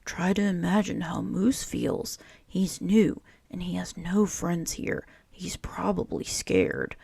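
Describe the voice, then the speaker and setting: A woman voicing a patient, wise male owl schoolteacher in a storybook, calm and measured. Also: on the low side, creaky, breathy edge, no echo, full character voice.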